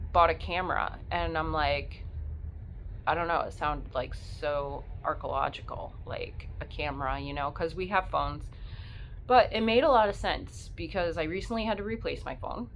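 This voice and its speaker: A woman's voice: sits low, a bit bright, slight background noise. A woman with the flu, sniffly, chatting casually and rambling as a part-time reseller in her vlog. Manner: chatty, rambling, many fillers.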